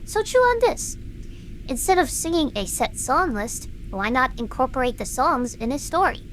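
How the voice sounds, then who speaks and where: A woman's voice: high-pitched, big pitch swings, normal speed, a bit bright, slight background noise, poor audio. A woman playing a defiant young performer in an audio drama, intense, stubborn and earnest. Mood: eager, earnest. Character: driven, defiant young woman performer, bitter but passionate.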